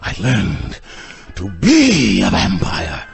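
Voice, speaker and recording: An actor playing an obsessed vampire-hunting doctor in an audio drama, grave and urgent with melodramatic delivery. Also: male voice, deep voice, huge pitch swings, takes it slowly, gravelly, faint music behind, full character voice. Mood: urgent, fervent. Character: obsessed intense male vampire hunter doctor.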